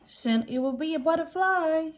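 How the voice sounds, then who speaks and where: A woman reading a picture book aloud to young children in an expressive way, putting on animal sounds. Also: sits high, moderate pace.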